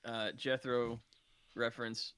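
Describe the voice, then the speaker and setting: A man's voice: mid-range voice, monotone, slow pace, a bit bright, smooth, no room sound. A man in casual, teasing banter as a guest on a stream. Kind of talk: casual chat.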